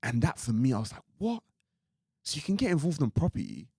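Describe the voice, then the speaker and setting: A man's voice: mid-range voice, very animated, a little fast, mellow, slightly rough, dry recording, studio quality. A young man from Ireland co-hosting a podcast, talking casually in a rambling way with lots of "like" and "you know what I mean". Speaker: podcast co-host.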